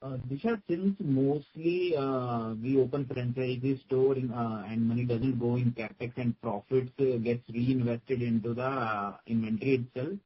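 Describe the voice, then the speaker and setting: A man with an Indian accent giving matter-of-fact, halting answers as a finance executive on an earnings call. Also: mid-range voice, fairly even intonation, breathy edge. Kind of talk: spoken answers on a business conference call. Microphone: conference call line. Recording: dull, lo-fi conference call audio.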